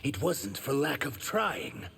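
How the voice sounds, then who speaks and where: An actor playing an earnest, wounded young reptilian shapeshifter warrior in a video game, speaking with guarded defiance. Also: male voice, medium pitch, big pitch swings, slow pace, gravelly, fairly bright tone, husky, exaggerated voice. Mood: earnest, reproachful. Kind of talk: video game fight dialogue.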